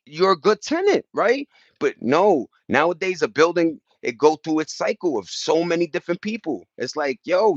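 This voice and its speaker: A man's voice: fairly high pitch, no echo, muffled recording. A man with an American accent talking casually on a livestream, passionate and emphatic, rambling in slangy street talk. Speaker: livestream host reading and answering viewer chat.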